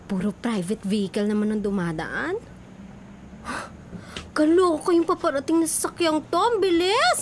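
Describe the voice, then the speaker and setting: High-pitched, whiny young Filipina. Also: female voice, moderate pace, slight background noise. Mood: impatient, anxious. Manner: expressive, whiny, Taglish-sprinkled. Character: young woman Judith, flustered, feisty, girlish. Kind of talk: Filipino radio drama acting.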